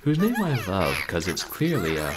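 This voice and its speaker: A man's voice: low voice, huge pitch swings, normal speed. A man in his thirties giving casual commentary as he hosts a game livestream from a small room. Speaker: game streamer, host. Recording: loud noise behind the voice.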